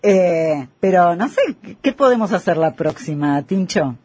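A man's voice: sits high, animated, a little slow, a little mellow, slight background noise. An Argentine man co-hosting a radio show, asking questions and bantering casually. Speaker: radio co-host.

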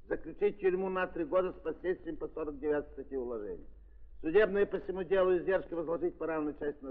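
Casting: male actor as court president, fairly high voice, official declamation, tinny vintage drama recording. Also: lightly acted. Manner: official, declamatory, measured formal reading. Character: formal presiding judge reading out a sentence. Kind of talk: 1930s Russian radio drama acting. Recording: tinny 1930s recording in reverberant hall.

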